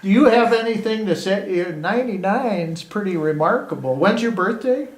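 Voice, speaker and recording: Guy in his sixties asking questions, friendly, lots of yeahs, a bit high voice, echoey room. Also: expressive, moderate pace. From Virginia, outside Washington D.C. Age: 60s. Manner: friendly, encouraging, many short affirmations. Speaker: interviewer. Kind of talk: oral history interview.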